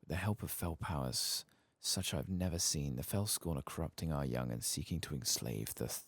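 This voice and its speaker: A man voicing a weary, solemn game dragon pleading for its brood, soft-spoken, husky and gravelly. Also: very deep voice, moderate pace, no echo. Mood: grave, urgent. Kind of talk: reading game quest text aloud.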